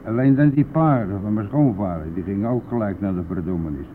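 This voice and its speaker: An actor playing a boastful, brash and talkative bus driver in a radio play, with a blustery, colloquial manner. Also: male voice, lowish voice, fast, close to an everyday voice. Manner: blustery, colloquial, mildly swearing. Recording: narrow phone-like old radio recording, faint noise.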